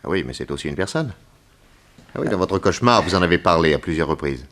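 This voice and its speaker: A man playing a courteous, probing private detective in a 1960s French radio mystery, with measured questioning. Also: deep voice, lively intonation, a bit bright. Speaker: lead actor in a detective serial.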